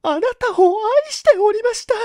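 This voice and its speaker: A man voicing a young married lady who confesses her love in a tearful, halting way. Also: extremely high pitch, expressive, a little mellow, dry recording, studio quality, pitched above the performer's own voice. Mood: anguished, tearful. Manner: halting, sobbing whispers.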